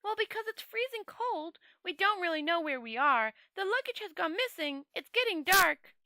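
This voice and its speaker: A woman acts out a naive little gentleman tourist from a storybook, pitched very high and bright. He is chirpy and earnest, fussing eagerly over every point with loud emphasis. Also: animated, normal speed, no echo, full character voice. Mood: reasonable, fretful.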